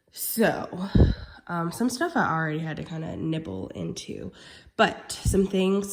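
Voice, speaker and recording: A woman's voice: on the low side, a little mellow. A young woman vlogging casually, chatty with lots of "um" and "like", sounding congested and nasal from a cold. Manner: chatty, many fillers like "um" and "like". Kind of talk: casual vlog chat.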